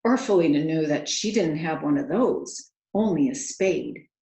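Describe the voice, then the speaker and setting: Slow, creaky-voiced woman reading a storybook. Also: low voice, lively intonation, mellow. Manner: dramatic, earnest storytelling. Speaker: children's author reading aloud. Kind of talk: expressive storybook read-aloud narration. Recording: roomy, echoing home recording.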